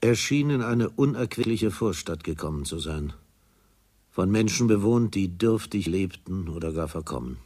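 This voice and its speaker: The narrator of a literary radio play. His voice is deep and rough-edged. He reads with grave, deliberate bleakness. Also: expressive, darkish tone. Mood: bleak, intense. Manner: solemn, deliberate.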